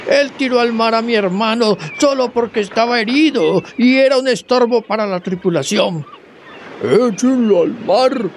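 Radio play: scornful, vengeful pirate, high-pitched and heated, light background noise. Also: male voice, normal speed. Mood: vengeful anger. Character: bitter, vengeful pirate sailor. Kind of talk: theatrical radio drama acting.

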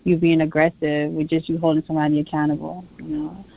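A woman's voice: deep voice, flat delivery, fast, vocal fry, lo-fi sound. A woman speaking as an interview guest and teacher, casual but earnest, stressing and repeating her points.

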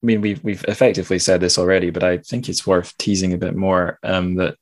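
A man with a deep voice hosts a literary podcast. He talks casually and thinks aloud, circling around his point and poking fun at himself as he goes. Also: level tone, fast. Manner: rambling, thinking aloud, self-deprecating. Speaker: podcast host.

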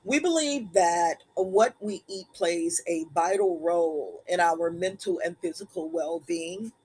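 A woman hosts a podcast in an unhurried, deliberate way. Her voice is scratchy and husky today, and she takes her time with each phrase. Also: lowish voice, a little mellow. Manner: slow, deliberate delivery. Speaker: podcast host. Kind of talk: podcast monologue.

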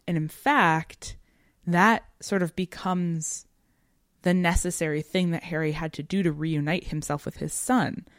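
A woman with a notably low voice co-hosts a discussion podcast in a very dry recording. She speaks conversationally but analytically, leaning on key words and reflecting as she goes in academic turns of phrase. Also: fairly even intonation, measured pace, dry recording. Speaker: podcast co-host.

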